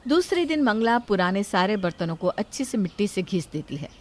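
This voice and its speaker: A woman's voice: mid-range voice, big pitch swings, fairly quick. A woman narrator reading a scripted moral story.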